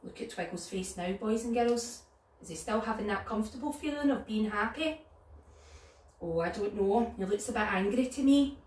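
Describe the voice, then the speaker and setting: A middle-aged woman with a Northern Irish accent leading story time for young children in an animated way. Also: medium pitch, moderate pace. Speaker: nursery storyteller. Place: living room at home. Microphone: camera a few metres away. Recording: roomy, distant mic, background noise.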